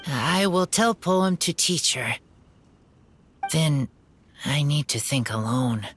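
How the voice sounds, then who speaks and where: A reserved wild boy in a video game. The voice is low and very slow, with earnest, halting, simple phrases. Faint music plays behind. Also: animated. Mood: earnest, determined. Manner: halting, clipped broken sentences.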